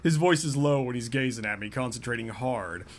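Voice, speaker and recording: A man doing a mocking, deadpan reading of a romance novel, often breaking off into laughter and asides. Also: mid-range voice, fairly quick. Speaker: podcast co-host reading a novel aloud.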